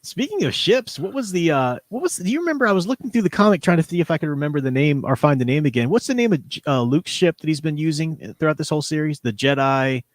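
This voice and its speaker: A man's voice: on the high side, expressive, fast, hardly any pauses, no echo. Enthusiastic, rambling man in his forties, close dry sound. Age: 40s. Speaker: livestream host. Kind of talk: casual fan discussion of comics and audio dramas.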